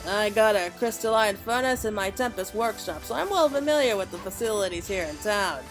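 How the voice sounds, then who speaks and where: A woman's voice: medium pitch, lively intonation, fairly quick, soft background music, close to an everyday voice. A woman voicing a plain-spoken veteran male craftsman with folksy dialect wording, reading game dialogue. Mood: plain-spoken, encouraging.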